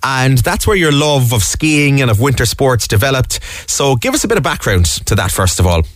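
A man's voice: medium pitch, sing-song, normal speed, dry recording. A man interviewing a guest on radio, friendly, with long wordy questions.

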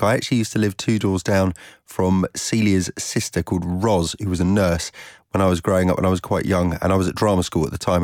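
A man's voice: sits low, darkish tone, no echo, clean studio sound. A British man who is a radio presenter, giving a chatty personal book recommendation.

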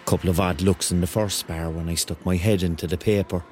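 An actor playing a rambling, excitable thirty-year-old man with a Cork accent, telling his story colloquially in a radio drama. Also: deep voice, fairly quick, music in the background, lightly acted. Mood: wry, confiding. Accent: Cork Irish. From Cork, Ireland. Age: 30s. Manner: colloquial, digressive, conversational. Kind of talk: first-person radio drama narration and dialogue.

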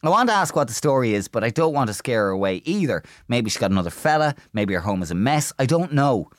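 A man's voice: medium pitch, big pitch swings, fast talker, no room sound, clean studio sound. A man with an Irish accent co-hosting a comedy podcast, joking around and reading a listener's email aloud in a playful way. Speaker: podcast co-host. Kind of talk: casual comedic chat.

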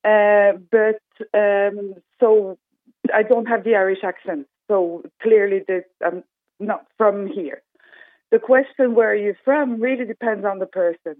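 A woman calling in to a radio show, speaking in a measured, thoughtful way. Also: medium pitch, steady tone, no room sound. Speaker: caller. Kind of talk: radio phone-in discussion. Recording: tinny phone line.

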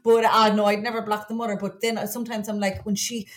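A woman's voice: medium pitch, level tone, fast. An Irish woman with a Cork accent co-hosting a podcast in a casual, gossipy, confiding way. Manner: chatty, colloquial, confiding. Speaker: podcast co-host.

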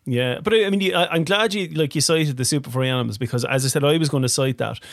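A man hosting a casual interview, chatty and quick to laugh, with many restarts. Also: medium pitch, vocal fry, dry recording, studio quality. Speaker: podcast host.

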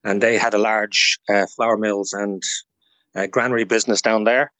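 A man's voice: fairly low pitch, steady tone, moderate pace, a bit bright, dry recording. An Irish man speaking as an expert guest, telling history informally in an interview. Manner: informative, storytelling. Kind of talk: informal explanatory interview talk.

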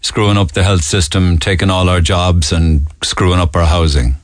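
A man's voice: low-pitched, fairly even intonation, moderate pace, no echo, studio quality. A man hosting a radio phone-in, asking the caller probing questions. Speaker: radio host.

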